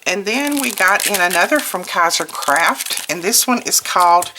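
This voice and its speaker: A hoarse woman recovering from illness, hosting a casual craft product showcase and chatting as she handles each item. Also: lowish voice, expressive. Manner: unhurried, chatty, commenting piece by piece. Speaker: host of a craft product video. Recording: clear background noise, paper crinkling and clicks. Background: crinkling paper and packaging.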